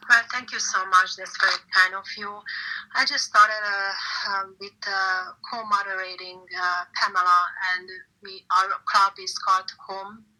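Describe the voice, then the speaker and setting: A woman's voice: medium pitch, fairly even intonation, unhurried, bright, forceful tone, poor audio. A woman with a Hungarian accent, talking as an interview guest in casual chat, hesitant with many fillers.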